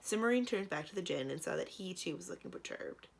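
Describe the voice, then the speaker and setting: A woman's voice: fairly low pitch, expressive, fast, vocal fry. A woman narrating a children's storybook as a library read-aloud.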